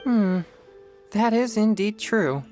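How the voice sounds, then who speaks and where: A woman's voice: mid-range voice, expressive, takes it slowly, music in the background. Earnest, modest teenage exorcist boy, voiced by a woman. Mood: agreeing. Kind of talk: video game character acting.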